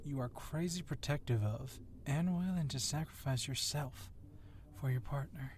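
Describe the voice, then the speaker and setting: A man's voice: mid-range voice, moderate pace, a little mellow, slight background noise, close to an everyday voice. A man playing a confident, sly policeman wrestler who teases and goads his rival in an ASMR roleplay. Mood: sly, admiring. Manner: teasing, needling.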